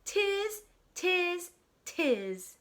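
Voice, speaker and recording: Woman doing squeaky, chattering storybook monkeys. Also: big pitch swings, drawn-out words, a bit bright, full character voice. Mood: cheeky mocking. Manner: short repeated chattering syllables. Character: cheeky mimicking tree monkeys, small and defiant.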